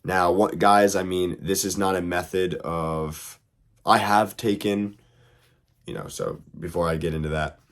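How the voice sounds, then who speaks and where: Brief: young man, very low voice, hoarse from illness, loose and rambling how-to host. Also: fairly even intonation, unhurried. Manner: loose, rambling, lots of fillers. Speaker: RC hobby video host. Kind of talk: casual how-to tutorial.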